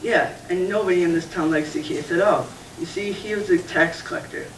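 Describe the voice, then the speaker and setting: A man with a high voice patiently tells a Bible story as a puppet character. He sounds far from the mic, in an echoey room. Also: brisk, a little hiss or hum. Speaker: puppeteer playing Carl. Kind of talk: church puppet show, Bible story telling.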